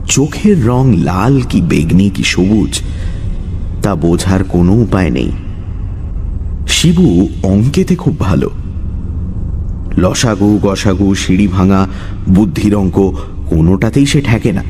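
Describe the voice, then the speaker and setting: A man narrating a scripted horror story in a dramatic, suspenseful way, with deliberate pauses. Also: low voice, animated, mellow, a little hiss or hum.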